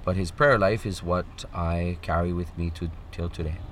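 Man from hawaii, guest, low voice, earnest, measured, faint background noise. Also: steady tone, fairly bright tone. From Oahu, Hawaii. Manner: measured, earnest, lists things in series. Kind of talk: reflective personal account.

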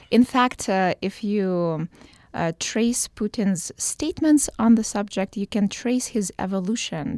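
Woman, from Ukraine, professor, interview guest, gravelly voice, dry close sound. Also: medium pitch, a little mellow, dry recording. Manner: thoughtful, many filler words and restarts. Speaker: political science professor, guest. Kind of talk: radio interview answers.

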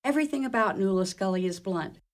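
A woman's voice: mid-range voice, animated, fast. A woman narrates a radio play. Her voice is mid-range, and she reads out scene headings and stage directions as scripted narration.

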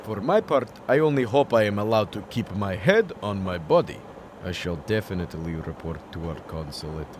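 A man's voice: on the low side, animated, moderate pace, background noise, lightly acted. A young man acting an earnest, naive and anxious Soviet comrade, formal and literal-minded, in a radio comedy. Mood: resigned, stubborn. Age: young adult.

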